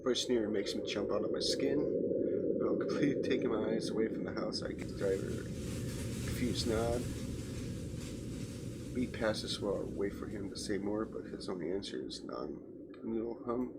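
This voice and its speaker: Loud background noise, gravelly male voice, let's play narration, stumbling read, casual asides. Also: lowish voice, normal speed. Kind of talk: reading game narration aloud with casual asides.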